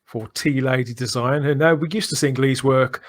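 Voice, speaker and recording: A man's voice: mid-range voice, steady tone, normal speed. A middle-aged man hosting a podcast in a casual, enthusiastic fan discussion, speaking effusively and emphatically in long, discursive turns. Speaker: podcast host.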